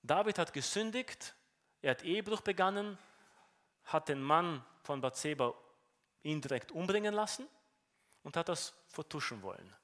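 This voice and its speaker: A man with a fairly high voice and a Romanian accent delivers a sermon. He speaks earnestly, explaining and asking questions of his listeners. He often repeats a word as he restarts a thought. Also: sing-song, moderate pace, long pauses, a bit bright. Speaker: preacher.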